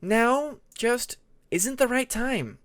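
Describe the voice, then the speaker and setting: Young female dragon in a fantasy audiobook, male reader: raised pitch, measured, gently insistent, politely dodging. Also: animated, dry recording, clean studio sound, full character voice. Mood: reassuring, evasive.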